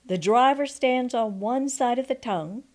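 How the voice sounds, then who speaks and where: A woman in her sixties reading a folksy memoir aloud as a storyteller, expressive and deliberate, with pauses. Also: fairly high pitch. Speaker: storyteller and audiobook narrator. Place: quiet room at home, indoors. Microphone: microphone on a stand before her, seated.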